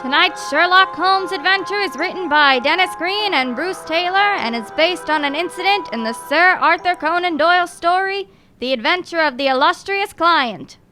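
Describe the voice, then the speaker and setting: A woman announces in scripted old-time radio style. Her voice is extremely high-pitched, with clear music playing behind it. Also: normal speed.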